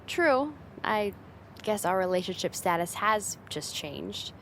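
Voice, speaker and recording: Playful, teasing young woman over rumbly noise, acted out. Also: very animated, slow pace, gravelly, heavily acted. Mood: shy, affectionate. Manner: teasing, giggly, soft sighs, casual hesitant phrasing. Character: playful teasing young woman, friend's older sister turned sweetheart. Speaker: ASMR roleplay performer. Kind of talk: intimate ASMR roleplay, casual and conversational.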